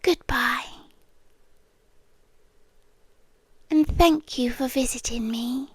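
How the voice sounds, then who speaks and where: A British woman voicing a possessive, childlike female sea demon in an ASMR roleplay, tender and eerie, with soft breathy speech that often drops to a whisper and slow, coaxing pauses. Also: high voice, no echo, full character voice. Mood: wistful, tender.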